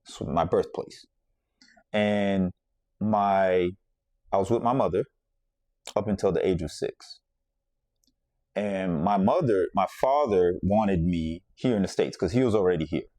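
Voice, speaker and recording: A man's voice: low voice, unhurried, pauses often, a little mellow, dry recording, studio quality. A man from the Bahamas hosting a podcast, telling a personal story in a reflective, conversational way and circling back to repeat his points. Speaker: podcast host.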